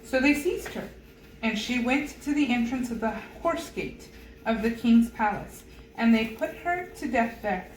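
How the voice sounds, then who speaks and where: A woman reading scripture aloud in a halting manner, stumbling over unfamiliar names. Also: on the high side, animated. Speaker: Bible reader. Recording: roomy echo with loud background noise.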